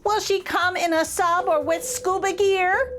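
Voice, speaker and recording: A woman's voice: extremely high pitch, fairly even intonation, a little slow, a bit bright, soft background music, voice pushed up. A woman voicing a nervous young boy shark in a storybook, speaking in worried, eager rhyming lines.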